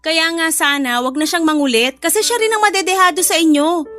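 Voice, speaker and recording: A woman's voice: extremely high pitch, a little fast, a bit bright, faint music behind. A woman playing a blunt, protective best friend with a sassy, scolding manner in an audio drama. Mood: blunt, teasing.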